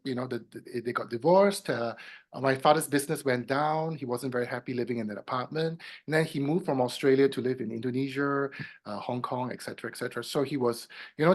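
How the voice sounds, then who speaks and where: A man in his fifties who grew up in Singapore, telling his story as an interview guest. His voice swings up in pitch and volume at each new turn, then sinks low and soft at the ends of sentences. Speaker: guest, feng shui consultant.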